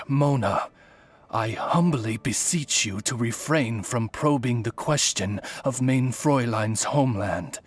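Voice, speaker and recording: A man's voice: medium pitch, breathy edge. A man voicing a courtly, solemn familiar, formal and deferential, in an anime-style video game.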